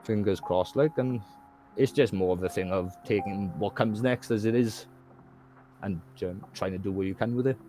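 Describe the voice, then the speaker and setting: A man's voice: on the low side, normal speed, mellow, slight background noise, low-quality recording. A man from Wales with a Welsh accent, a guest talking candidly in casual conversation, rambling and often asking "do you know what I mean".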